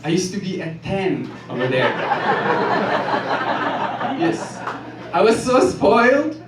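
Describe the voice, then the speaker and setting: A man from Ethiopia performing a stand-up set in a comedy club, delivering jokes deadpan and chuckling at his own lines. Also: sits high, slow pace. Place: comedy club stage with live audience. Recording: echoey club room, from far off, loud noise.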